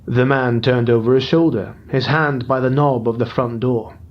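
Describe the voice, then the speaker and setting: A man narrating a dark fantasy audiobook in a steady, dramatic storytelling manner. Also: mid-range voice, normal speed. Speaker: narrator and writer. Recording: dull lo-fi recording.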